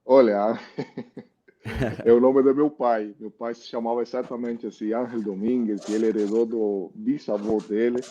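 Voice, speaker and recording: A man's voice: medium pitch, steady tone, moderate pace, mellow, muffled recording. A man from Cuba with a Cuban Spanish accent, a meteorologist speaking Portuguese as a guest in a casual interview, tagging his sentences with "né?". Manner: thoughtful, many "né?" tags.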